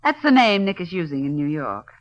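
Sly, expressive radio femme fatale. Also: female voice, fairly low pitch, a little fast. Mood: guarded, sly. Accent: American. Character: cool, flirtatious gangster's wife, sly femme fatale. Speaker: radio drama actress. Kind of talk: 1940s-50s radio drama acting. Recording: dull vintage radio, faint noise.

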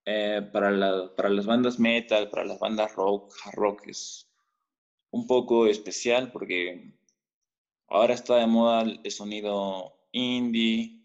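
A Peruvian man, a musician guest in a casual interview, speaking in a measured, slightly hesitant way with a Peruvian Spanish accent. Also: on the low side, steady tone, dull audio. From Peru.

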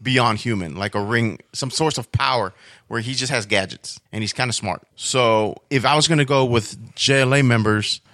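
A man's voice: medium pitch, normal speed, a bit bright, no echo. Casual man in dry, close sound. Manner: informal, rambling storytelling. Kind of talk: casual chat about superhero comics and cartoons.

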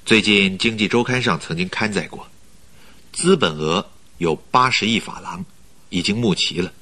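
An actor in a Mandarin radio drama playing a polite, flattering company recruiter who talks in a measured, persuasive way. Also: male voice, lowish voice. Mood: persuasive, explaining. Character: smooth-talking male company recruiter, flattering, persuasive schemer.

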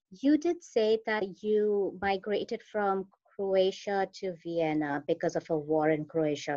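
A woman hosts an interview podcast in a mid-pitched voice, moving through prepared remarks and questions. The recording is a bit dull and lo-fi. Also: darkish tone. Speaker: podcast host. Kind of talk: scripted introduction and interview questions.

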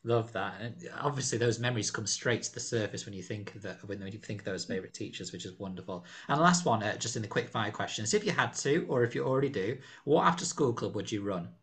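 Fast-talking male podcast host. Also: on the low side, fairly bright tone. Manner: encouraging, uses fillers. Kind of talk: interviewing a guest.

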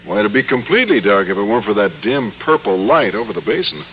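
A man's voice: fairly low pitch, sing-song, fast talker. An actor playing a bashful, naive male schoolteacher, hesitant and flustered, in an old radio comedy. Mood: flustered, alarmed. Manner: hesitant, stammering, boyish. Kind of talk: 1950s radio comedy acting. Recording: muffled, noisy old radio broadcast.

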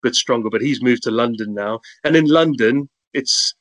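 A man's voice: mid-range voice, normal speed, fairly bright tone. A man with a South African accent, a guest in a casual interview, cheerfully telling anecdotes about his work and life. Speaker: guest, content creator.

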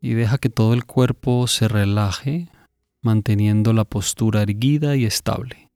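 A man calmly and gently guiding a meditation, instructing with long pauses between phrases. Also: lowish voice, smooth, no room sound, studio quality. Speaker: meditation guide. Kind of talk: guided meditation.

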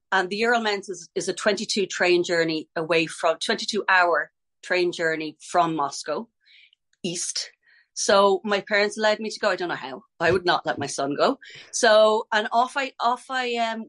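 A woman's voice: medium pitch, fairly bright tone. A middle-aged Irish woman, a ballet teacher, chatting in a podcast interview, talking freely, restarting sentences and laughing easily. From Ireland.

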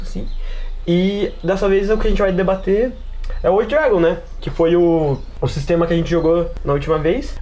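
A man's voice: high-pitched, a little mellow. A man with a Brazilian accent hosting a casual podcast about tabletop games, explaining at length with slangy asides. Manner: wordy, explanatory, peppers talk with slang and tags. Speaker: podcast host and game master.

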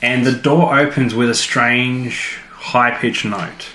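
A man's voice: medium pitch, steady tone, slow pace, sharp tone, slight background noise. A man co-hosting a podcast, bantering casually and playfully about a TV show and arguing his points. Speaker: podcast co-host. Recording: roomy echo, music underneath.

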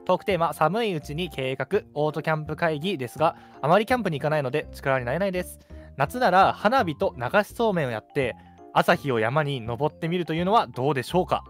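A young man talks as a radio co-host, laying out his thoughts in casual conversation. His delivery is fast with a very lively pitch, over light background music. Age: young adult. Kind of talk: casual chat. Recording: music bed under the talk.

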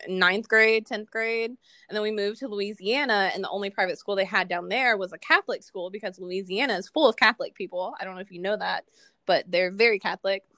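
A woman's voice: medium pitch, brisk, sharp tone, dry recording. A young American woman chatting casually as a podcast host, laughing often and poking fun at herself. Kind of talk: casual chat.

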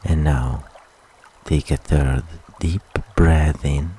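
Very deep, slow male meditation guide. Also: fairly even intonation, mellow, a little hiss or hum. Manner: soothing, unhurried, with long pauses. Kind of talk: guided relaxation meditation, soft scripted instruction.

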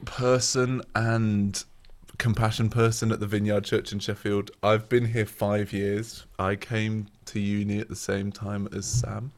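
A man's voice: fairly low pitch, level tone, unhurried, hardly any pauses. A man in his twenties from England, speaking hesitantly in a casual chat, with many ums and a self-deprecating manner. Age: 20s. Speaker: church worship and compassion leader.

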